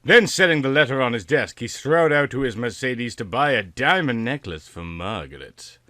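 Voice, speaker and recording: Bright, forceful male story narrator. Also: mid-range voice, expressive, moderate pace, no echo, clean studio sound. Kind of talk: theatrical comic story reading.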